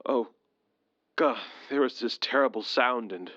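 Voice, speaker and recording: An actor playing a shaken husband in an audio drama, stubbornly insisting in halting phrases that all is fine. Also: male voice, mid-range voice, bright, forceful tone, no echo, highs cut off. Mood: shaken, horrified. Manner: halting, breathy sighs, insistent. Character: shaken but stubbornly dismissive husband, alien invasion survivor. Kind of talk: modern audio drama acting.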